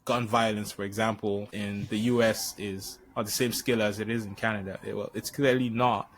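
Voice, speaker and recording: Man from Nigeria, podcast host, lowish voice, hesitant, restarts phrases. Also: flat delivery, brisk, a little hiss or hum. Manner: hesitant, repeats words while thinking. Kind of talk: conversational interview.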